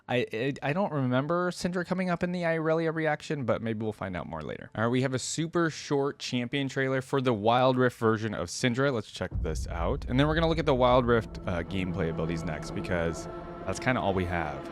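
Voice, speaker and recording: A man of average pitch hosts a casual reaction video. He talks informally and chattily, like an enthusiastic fan giving commentary. Music plays clearly underneath his voice. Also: lively intonation, fairly quick.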